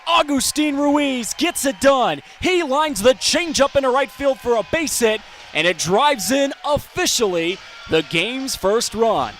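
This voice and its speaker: An American man calling baseball play-by-play as a broadcaster, animated and given to shouting on big plays. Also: very high voice, a little slow, slight background noise. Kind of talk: live sports play-by-play commentary.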